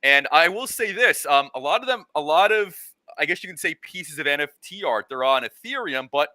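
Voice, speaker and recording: A man hosting a casual interview, feeling his way through long, halting, rambling questions. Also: fairly high pitch, lively intonation, pushed and bright, no room sound. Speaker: interviewer, host.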